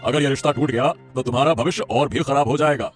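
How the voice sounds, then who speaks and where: A poor, resigned middle-aged father acted by a man in a mid-pitched voice. He pleads and soothes submissively, cartoon moral-story style with music behind. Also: fairly even intonation, fast talker, close to an everyday voice. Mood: resigned, pleading. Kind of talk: Hindi cartoon story dialogue.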